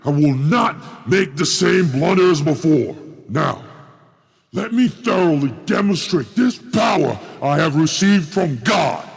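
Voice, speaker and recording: A man's voice: animated, measured pace, vocal fry. A man voicing a pompous, fanatical muscle-bound giant in an anime fan dub, with grandiose, formal delivery. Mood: fervent, shouting. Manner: grandiose, formal, booming when worked up.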